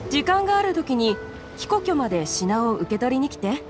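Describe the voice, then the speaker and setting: A woman's voice: high-pitched, very animated, moderate pace. A woman playing a courteous, obliging female antique merchant in a video game, speaking politely and reassuringly. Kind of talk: Japanese video game voice acting. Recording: game music behind the voice.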